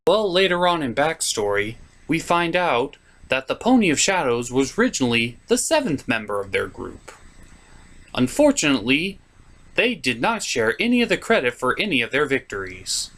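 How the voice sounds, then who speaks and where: A man's voice: medium pitch, sing-song, moderate pace, fairly bright tone. A man hosting a comedic cartoon review, giving commentary in a sarcastic, exasperated manner.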